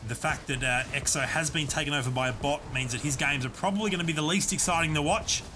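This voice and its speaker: A man casting a live online game tournament, giving steady, explanatory play-by-play. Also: a little high, quick pace, over music. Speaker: esports caster and streamer. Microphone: desk USB condenser mic.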